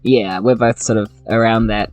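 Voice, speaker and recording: A woman's voice: very deep voice, fairly even intonation, moderate pace, darkish tone, faint music behind. A woman chatting casually as a player in a tabletop game. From New Zealand. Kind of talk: casual in-character game chat.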